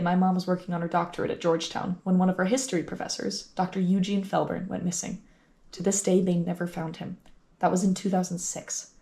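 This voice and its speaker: A woman's voice: on the low side, a little mellow, close to an everyday voice. An actress playing a brash, eager young woman who wants to be a private investigator, in an audio drama. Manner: brash, confident, emphatic.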